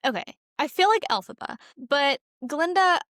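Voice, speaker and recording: A woman's voice: high-pitched, lively intonation, normal speed, a bit bright, no echo, studio quality. A young adult woman co-hosting a podcast, chatting informally and emphatically about films. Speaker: podcast co-host. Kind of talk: casual chat about films.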